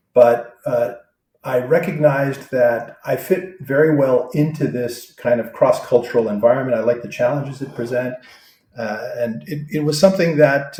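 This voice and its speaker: A man in his sixties answering interview questions in a thoughtful, halting way, with many fillers and restarts. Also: medium pitch, warm tone. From born in Vietnam, raised abroad. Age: 60s. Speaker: guest. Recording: roomy echo.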